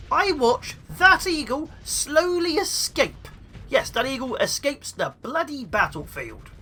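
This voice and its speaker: A young man with the flu, sounding sniffly, giving excitable let's play commentary. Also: extremely high pitch. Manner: excitable, mutters at enemy ships. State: sniffly with flu, sore throat. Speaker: gaming YouTuber.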